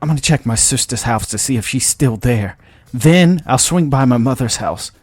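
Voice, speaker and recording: A man's voice: medium pitch, animated, moderate pace, darkish tone, breathy edge, no echo, pitched above the performer's own voice. A headstrong woman mech pilot from a sci-fi audio story, acted out by a man. The delivery is defiant and blunt, with a foul-mouthed edge. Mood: determined. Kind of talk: audio story character dialogue.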